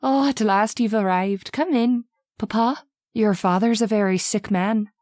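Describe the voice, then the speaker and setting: A woman's voice: medium pitch, a little slow, a little mellow, a little hiss or hum, close to an everyday voice. A woman playing a worried, deferential housekeeper in an old-time radio drama, with a fussing manner.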